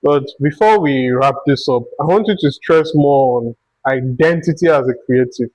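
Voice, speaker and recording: A man's voice: medium pitch, normal speed, darkish tone, no room sound, rough recording. A man with a Nigerian accent hosting a conversational interview, thinking aloud in long, winding turns. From Akwa Ibom, Nigeria. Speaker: interview host.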